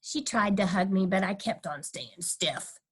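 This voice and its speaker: A woman's voice: medium pitch, a little slow, breathy edge. A woman voicing a cheeky, stubborn kindergarten girl who tells her own story with childish, emphatic, dramatic delivery. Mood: matter-of-fact. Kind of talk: first-person children's storybook reading.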